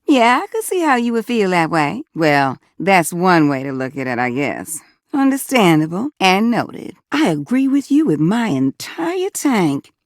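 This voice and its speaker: A sassy, folksy American lady from a video game, a household fixture come to life. Her pitch swings widely as she coaxes and teases in colloquial talk. She is warm-hearted, and the recording is close and dry. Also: sing-song, measured pace, dry recording. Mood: chatty, sympathetic. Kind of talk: video game character dialogue.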